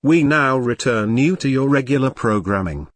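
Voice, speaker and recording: A man playing a government emergency alert announcer, reading a formal, impersonal and deliberate broadcast script. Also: mid-range voice, lively intonation, no room sound, close to an everyday voice. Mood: formal, grave.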